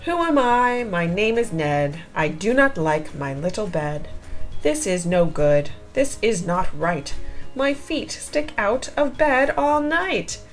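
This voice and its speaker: A woman's voice: mid-range voice, sing-song, slow, faint music behind. A woman voicing a grumbling, put-upon storybook man who complains in a plaintive, exclaiming way. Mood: complaining.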